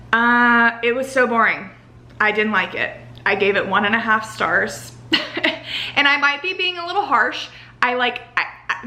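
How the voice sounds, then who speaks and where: A woman's voice: on the high side, bright, forceful tone. A young woman giving a casual, rambling book-review vlog, blunt and dramatic in her emphasis, leaning heavily on filler words. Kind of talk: casual, chatty opinion review to camera. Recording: echoey untreated room.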